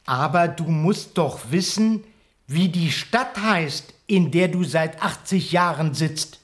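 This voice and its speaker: An actor playing a reasoning, slightly superior time traveller in a radio play, explanatory and insistent. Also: male voice, fairly high pitch, slow. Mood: questioning, superior. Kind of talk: German radio play acting.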